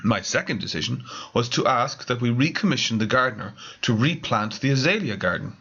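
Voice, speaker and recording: A man reading scripted comic narration with formal, theatrical deadpan. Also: medium pitch, a little fast, breathy edge, muffled recording. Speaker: author reading own story.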